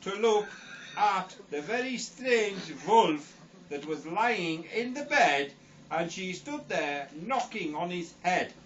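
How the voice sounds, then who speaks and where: A man's voice: sits high, expressive, slow, a bit bright. Giggly man telling a rambling story. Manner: rambling, giggling at his own jokes. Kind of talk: improvised comic storytelling. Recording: distant lo-fi home recording with hiss.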